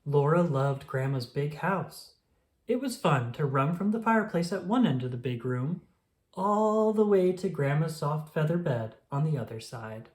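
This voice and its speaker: Storybook narrator, slow gentle delivery, mid-range voice, read-aloud for children. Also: mid-range voice, lively intonation. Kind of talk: reading a children's picture book aloud.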